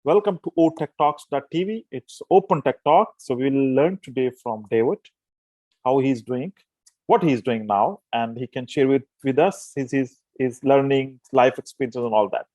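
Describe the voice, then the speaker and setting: A man hosting a tech podcast interview, halting and repeating words as he forms his questions. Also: mid-range voice, darkish tone, dry recording, low-quality recording. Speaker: podcast host.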